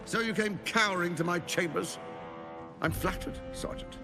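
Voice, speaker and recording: A man's voice: high-pitched, moderate pace, vocal fry, music in the background. A man playing a haughty, cold, scheming lord in a video game, condescending and commanding in a theatrical way. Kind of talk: dramatic video game acting.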